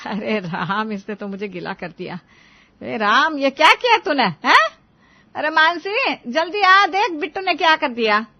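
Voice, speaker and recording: Woman acting a bossy, doting mother-in-law, higher-pitched. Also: very animated, unhurried, muffled recording, exaggerated voice. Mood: scolding, flustered. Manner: scolding, exclamatory, commanding. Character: bossy, doting middle-aged Indian mother-in-law and grandmother. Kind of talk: Hindi family moral story dramatization.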